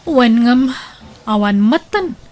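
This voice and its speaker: A woman's voice: fairly high pitch, lively intonation, takes it slowly, darkish tone. A woman playing a young village daughter in an audio drama, speaking with an Ilocano Filipino accent. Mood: downcast. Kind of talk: melodramatic radio-style drama acting.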